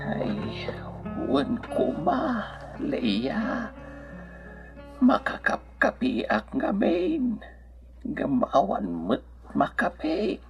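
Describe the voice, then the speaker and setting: Very slow, raspy, down-on-luck village woman, Ilocano accent, woeful radio drama, muffled sound. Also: low voice, wildly swooping pitch, heavily acted. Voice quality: rough, husky. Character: poor, down-on-luck villager turning down a neighbour. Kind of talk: Filipino radio drama acting. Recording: muffled radio recording, music underneath.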